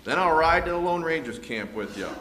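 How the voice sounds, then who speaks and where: A man's voice: a little high, lively intonation, fairly quick, pushed and bright. A man playing a hearty, folksy Old West marshal in a radio play, full of cowboy slang. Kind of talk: old-time Western radio re-enactment. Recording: echoey room with background noise.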